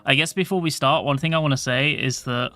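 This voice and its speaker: Soft-voiced man streaming casually. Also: mid-range voice, brisk, soft background music. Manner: rambling, chatty, swears casually. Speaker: game streamer. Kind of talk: casual livestream chat.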